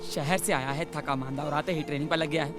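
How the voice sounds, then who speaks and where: An actor playing a calm, kindly middle-aged father in a screen drama, gently admonishing as he talks. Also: fairly high pitch, quick pace, over loud music, lightly acted. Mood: soothing. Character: calm, kindly father, soothing a hot-headed young woman. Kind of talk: naturalistic screen drama acting.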